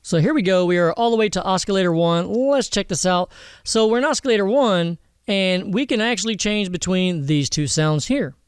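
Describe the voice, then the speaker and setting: Higher-pitched man, casual tutorial host. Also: normal speed, clear, resonant tone, no room sound, clean studio sound. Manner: informal, slangy, swearing.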